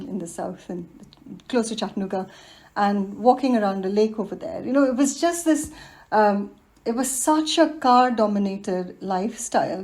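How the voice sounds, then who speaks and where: A woman in her forties from India, speaking reflectively in a podcast interview and laughing often. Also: mid-range voice. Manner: warm, reflective, laughs often, many fillers. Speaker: guest, environmental design professional.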